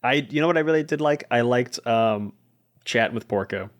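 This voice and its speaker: A man's voice: medium pitch, a little fast. A man speaking casually as a guest player, narrating his moves and chatting about a tabletop roleplaying game. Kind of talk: casual tabletop play and chat.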